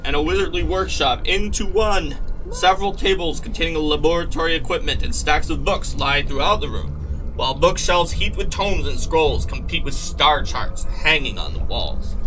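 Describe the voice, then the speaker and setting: A man's voice: on the high side, lively intonation, moderate pace, over music, low-quality recording. A man narrating a tabletop game session as game master, with a casual, chatty manner.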